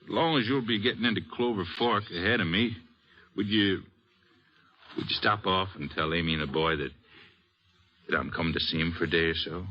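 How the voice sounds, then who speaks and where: An actor playing a folksy, sheepish middle-aged drifter in an old-time radio western, husky and hesitant in his speech. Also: deep voice, a little fast, pauses often. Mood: hesitant, eager. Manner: folksy, hesitant, rustic drawling grammar. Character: drifting cowboy father, folksy, sheepish, apologetic. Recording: dull, lo-fi old radio recording.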